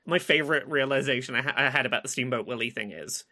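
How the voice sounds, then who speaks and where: A woman co-hosting a podcast in casual chat, animated and swearing freely. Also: rumbling low, fast talker. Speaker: podcast co-host.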